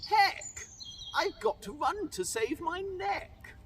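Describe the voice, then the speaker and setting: A man voicing a bloodthirsty, hot-tempered young prince in a storybook, in a loud declamatory style. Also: extremely high pitch, big pitch swings, slow, pitched above the performer's own voice, full character voice. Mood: panicked. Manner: shouting, declamatory. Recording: distant microphone, noisy background.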